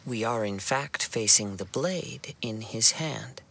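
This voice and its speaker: A man playing a calm, perceptive young wandering swordsman in a video game, speaking in a measured, formal and earnest way. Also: on the low side, creaky, exaggerated voice. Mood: earnest, explaining. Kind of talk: video game cutscene acting. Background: game music.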